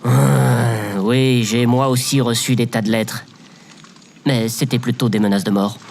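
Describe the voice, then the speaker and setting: A man's voice: mid-range voice, fairly even intonation, a little slow, vocal fry, a little mellow, a little hiss or hum. Guy playing dry bitter sarcastic space station worker, audio comedy, bit of background ambience. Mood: resentful. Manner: dry, sardonic, weary. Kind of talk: scripted audio comedy fiction acting.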